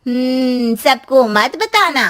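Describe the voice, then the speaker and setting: A woman's voice: high-pitched, expressive, slow pace, fairly bright tone, full character voice. A woman voicing a knowing, superstitious middle-aged neighbour auntie who cautions people in a cartoon story. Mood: nosy.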